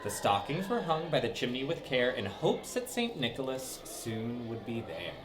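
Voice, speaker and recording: Lively man reading a story to kids. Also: mid-range voice, normal speed, music in the background. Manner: lively, sing-song, with dramatic swoops. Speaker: storybook reader for children. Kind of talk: reading a rhyming story aloud.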